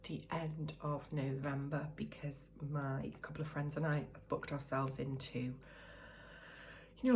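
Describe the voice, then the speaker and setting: A woman's voice: sits low, noisy background. A British woman with a cold, chatting and thinking aloud as she sorts cash into budget envelopes. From United Kingdom. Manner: chatty, thinks aloud while counting money. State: croaky from a cold. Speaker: budgeting vlogger. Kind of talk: casual chat while cash stuffing.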